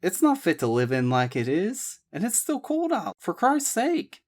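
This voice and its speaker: A woman's voice: on the low side, huge pitch swings, normal speed, darkish tone, pitched above the performer's own voice. A man voicing an outspoken young woman, speaking emphatically. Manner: emphatic, exclaiming. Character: spirited young Southern woman, outspoken and teasing.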